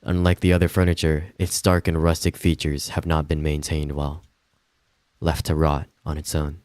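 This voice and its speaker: Deep-voiced man narrating a visual novel. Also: flat delivery, moderate pace, darkish tone, dry recording. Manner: informal, swears, stumbles over long words. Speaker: streamer. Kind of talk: reading visual novel narration aloud with casual asides.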